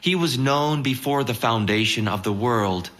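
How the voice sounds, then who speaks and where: A man reading an epistle in a solemn, measured, exhorting manner. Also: medium pitch, fairly bright tone. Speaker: scripture reader.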